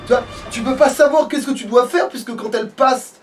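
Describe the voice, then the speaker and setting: A man with a high-pitched voice gives casual let's play commentary. He rambles and grumbles in exasperation as he plays. He is picked up from a distance, with faint noise behind him. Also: brisk. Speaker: let's player.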